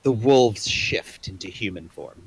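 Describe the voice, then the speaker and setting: A man's voice: lowish voice, lively intonation, measured pace, vocal fry, breathy edge, rough recording. A man narrating the action in a plain, matter-of-fact way. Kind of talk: reading stage directions.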